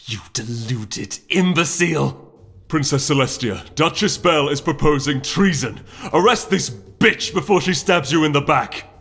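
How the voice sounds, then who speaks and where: Hot-tempered storybook duke, acted by a man, scornful and bitter, snarling loud accusations at a raised pitch. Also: expressive, unhurried, husky, full character voice. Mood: furious contempt.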